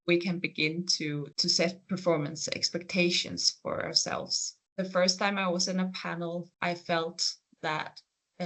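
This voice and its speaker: A speaker from the Faroe Islands whose first language is Faroese, with a Faroese accent, answering career interview questions in an earnest, reflective, gently encouraging way. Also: mid-range voice, creaky. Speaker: programme coordinator and PhD researcher.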